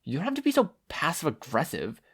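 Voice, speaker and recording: A man acts out an earnest teenage boy hero from a superhero tale. The voice is raised and animated, fretful and urgent, as if working under strain. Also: a little high, fast talker, husky, voice pushed up, heavily acted. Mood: earnest, determined.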